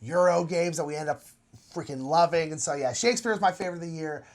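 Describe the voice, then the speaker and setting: A man's voice: a little high, fast talker. A man of thirty co-hosting a board game show in casual, enthusiastic chat, informal and emphatic, often repeating himself.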